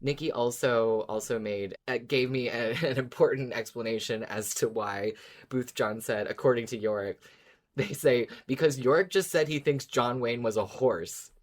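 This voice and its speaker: A co-host with a medium-pitched voice talks casually about a favourite subject. The delivery is enthusiastic and emphatic, with words often repeated for stress. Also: moderate pace. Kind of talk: casual fan discussion.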